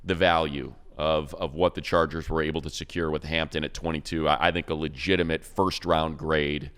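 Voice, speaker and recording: Deep-voiced man talking football. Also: level tone, normal speed, a bit bright, smooth. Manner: conversational, rambling analysis with fillers. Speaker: radio play-by-play announcer. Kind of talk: casual sports talk.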